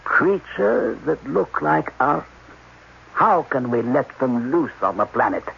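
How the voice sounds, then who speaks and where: An actor playing an authoritative, evasive general in 1980s radio drama, speaking in a measured, formal, commanding way. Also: male voice, medium pitch, big pitch swings, creaky, exaggerated voice. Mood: grave, evasive. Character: authoritative alien army general, diplomatic, evasive, weary of questions. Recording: dull, noisy old radio broadcast.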